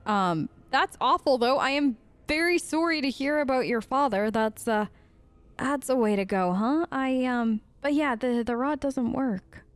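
Woman, let's player, slightly high voice, role-play gaming commentary, conversational, hesitant. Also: a little slow, smooth, no echo. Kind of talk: role-play gaming commentary, reading in-game text aloud.

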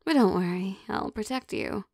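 A woman playing an affectionate, possessive vampire girlfriend in an intimate ASMR roleplay, speaking in a soft, teasing, coaxing manner. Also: medium pitch, normal speed, vocal fry, no room sound, clean studio sound. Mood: relaxed, affectionate. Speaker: voice actor.

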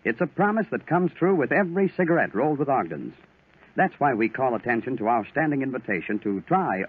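A man announcing in scripted 1940s radio style, as host and pitchman. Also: breathy edge. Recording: tinny narrow-band old radio recording.

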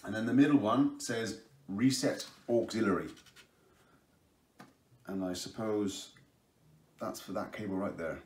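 A man's voice: fairly low pitch, a little slow, pauses often, a little hiss or hum. A man casually walking through the buttons of a speaker as a product reviewer, explaining step by step with fillers and restarts. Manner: raises his voice over loud music during the sound test. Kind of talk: casual unboxing demo. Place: indoors at a table, camera overhead. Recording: echoey room, music playing. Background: speaker playing music.

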